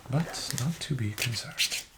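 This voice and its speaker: A soft-spoken man doing an ASMR roleplay as a friendly, courteous casino dealer, speaking in a formal, measured and encouraging way. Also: medium pitch, very noisy. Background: card shuffling and clicks.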